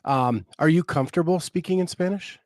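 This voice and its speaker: A man's voice: on the high side, brisk, darkish tone, dry recording, studio quality. A man hosting a podcast, chatting in an easygoing way with frequent hesitations. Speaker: podcast host. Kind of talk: casual chat.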